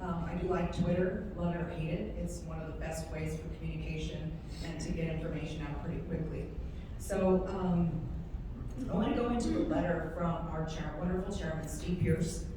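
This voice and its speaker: A woman from New Mexico with a slightly hoarse voice, giving a chatty luncheon speech with laughing asides. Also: deep voice, fairly even intonation, moderate pace. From New Mexico, USA. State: slightly hoarse, losing her voice. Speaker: guest speaker, party secretary. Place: luncheon hall. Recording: echoey hall from far off, noisy.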